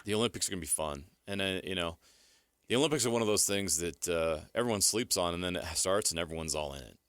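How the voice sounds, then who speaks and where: A man's voice: deep voice, fast, fairly bright tone, clean tone, no room sound, studio quality. A man co-hosting a sports radio show, chatting casually. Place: radio studio.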